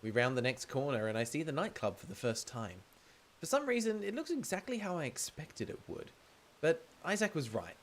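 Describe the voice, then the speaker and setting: A man livestreaming a visual novel, switching between expressive reading and chatty, playful commentary. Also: a little high, fairly quick, a little hiss or hum. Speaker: game streamer. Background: game music.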